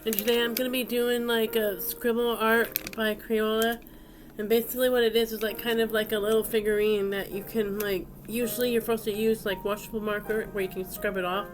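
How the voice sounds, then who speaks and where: A woman's voice: fairly high pitch, flat delivery, a little fast, soft background music, rough recording. A woman with a stuffy, congested voice, hosting a casual craft video with rambling, unscripted narration as she works.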